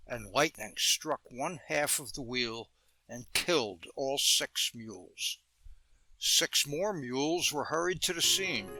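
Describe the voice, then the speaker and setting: A man's voice: medium pitch, sharp tone, rough recording. A man giving scripted narration for a travel vlog. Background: music.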